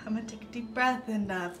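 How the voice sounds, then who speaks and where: A woman's voice: a little high, normal speed, bright, forceful tone. A young woman playing a tabletop roleplaying game, chatting casually and playfully as she improvises her character. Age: young adult. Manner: informal, playful, frequent "like". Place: indoor studio, seated at a shared table. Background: background music.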